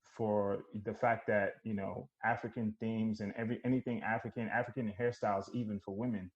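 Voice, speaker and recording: A man's voice: lowish voice, brisk, lo-fi sound. A man from Nigeria, a podcast guest, talking casually and reflectively with lots of fillers. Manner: relaxed, reflective storytelling with many fillers.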